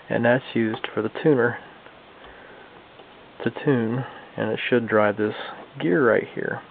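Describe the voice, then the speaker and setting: Gravelly man on a thin, lo-fi recording. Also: mid-range voice. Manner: folksy, informal, thinking aloud. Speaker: hobbyist radio restorer and video host. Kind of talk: casual hands-on demonstration for viewers. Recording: lo-fi, phone-like band, slight background noise.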